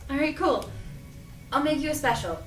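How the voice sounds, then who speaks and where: A young woman playing an earnest, self-doubting young shop worker in an audio drama read-through, speaking in an informal, expressive way. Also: high voice, normal speed. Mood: awkward, friendly. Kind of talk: audio drama cast reading. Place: echoey room at a cast read-through. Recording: roomy, echoing, distant mic, background noise.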